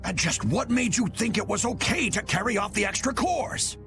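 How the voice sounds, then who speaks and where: A man's voice: high voice, animated, moderate pace, over music. A man voicing a haughty, indignant villain in a video game, imperious and full of sputtering outrage. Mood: indignant, demanding. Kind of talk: dramatic video game voice acting.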